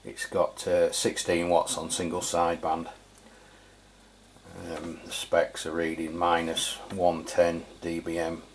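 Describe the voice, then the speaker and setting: A man's voice: low voice, completely flat delivery, slow, a little hiss or hum. A man giving an informal, matter-of-fact product review as a channel host.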